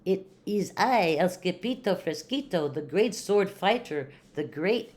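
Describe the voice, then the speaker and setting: A woman's voice: mid-range voice, lively intonation, unhurried, darkish tone, lightly acted. A woman puts on a naughty storybook kitten boy with big ideas about himself. The delivery is bragging and theatrical, full of playful mock-Spanish words. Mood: boastful. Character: boastful naughty kitten boy who believes he's a chihuahua.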